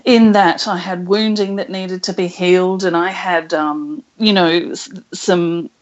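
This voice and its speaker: Soft-spoken woman on a muffled call. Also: on the low side, steady tone. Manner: slow, thoughtful, laughs softly. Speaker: guest channeler. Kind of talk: casual interview chat. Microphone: internet call.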